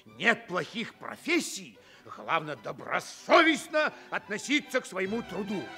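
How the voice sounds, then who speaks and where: Comic guard captain: boastful, stammering, very bright high voice, swooping delivery. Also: male voice, sing-song, measured pace, gravelly, soft background music, exaggerated voice. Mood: self-important. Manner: stammering, blustering. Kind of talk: theatrical commedia dell'arte radio comedy.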